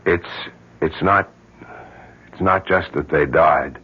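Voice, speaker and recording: Self-reproachful middle-aged doctor in vintage radio play; low, rough-breathed, halting, dull old radio sound. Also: male voice, heavily acted. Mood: haunted, confessional. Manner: halting, sombre. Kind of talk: old-time radio drama acting. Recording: muffled old radio recording.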